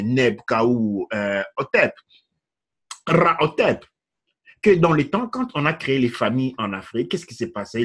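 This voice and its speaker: Husky man lecturing with rising emphasis. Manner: didactic, rises in pitch when emphatic, checks in with "ok?". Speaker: teacher of tradition, host. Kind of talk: lecturing discussion.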